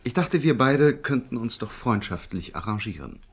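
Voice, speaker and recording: An actor playing a jovial but calculating crime boss of about forty in a radio drama, polite and persuasive. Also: male voice, medium pitch, lively intonation, normal speed, breathy edge. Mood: affable. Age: around 40.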